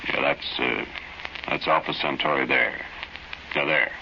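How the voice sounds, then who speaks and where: Zeer diepe, krakende, onheilspellende verteller. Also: male voice, very deep voice, steady tone, vocal fry, pushed and bright, slight background noise, full character voice. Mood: insistent, brooding. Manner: halting, insistent, ominous. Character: intense, obsessed man announcing an alien invasion. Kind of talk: old-time radio horror acting. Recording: muffled old radio broadcast with music.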